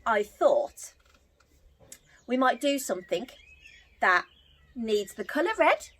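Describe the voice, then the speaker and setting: A woman telling a story to young children as an entertainer, theatrical and expressive. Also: fairly high pitch, slow pace.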